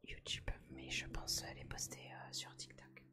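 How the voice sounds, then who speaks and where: A woman whispering a casual ASMR chat to her viewer, hushed, gentle and reassuring. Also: a little slow. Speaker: ASMR video host.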